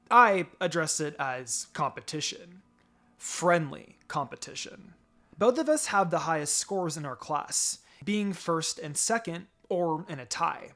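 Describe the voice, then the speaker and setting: A man's voice: on the high side, a bit bright. A man reading fan fiction aloud as a dramatic first-person story. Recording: music behind the voice. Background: background music.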